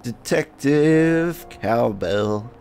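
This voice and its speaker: A man hosting a game livestream, switching between jokey, rambling chat and reading game text aloud in a gravelly voice. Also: medium pitch, lively intonation, extremely slow, darkish tone. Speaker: game streamer and host. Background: music.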